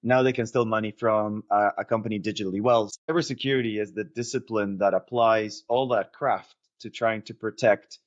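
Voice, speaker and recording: Low-voiced man, slightly muffled audio. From Argentina. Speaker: company founder being interviewed. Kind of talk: conversational interview answers.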